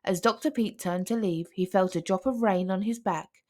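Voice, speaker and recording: A woman narrating a children's picture book aloud as an expressive storyteller. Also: medium pitch, normal speed. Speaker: storybook reader.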